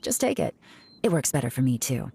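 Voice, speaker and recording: A cool lady detective for a game, low pitched, firm n wry, bit of background noise. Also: big pitch swings, a little fast, vocal fry, warm tone, full character voice. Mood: relaxed, wry. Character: stern, cool, composed female investigator, dryly confident. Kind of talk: video game character acting.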